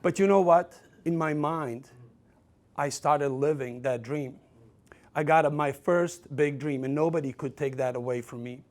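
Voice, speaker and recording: A man's voice: on the high side, unhurried, darkish tone. A man in his forties from Serbia with a Serbian accent, telling his life story as a motivational speaker, with self-deprecating humor. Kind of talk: motivational keynote speech.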